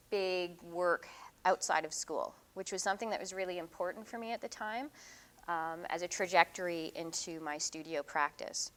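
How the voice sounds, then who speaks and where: A middle-aged woman presents her own artwork in a relaxed lecture. Her voice is smooth and clear, sitting at an ordinary pitch. She talks in a thoughtful, casual way, often pausing with ums and restarting her sentences. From Canada. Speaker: visiting artist giving a lecture. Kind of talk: artist talk presenting her work.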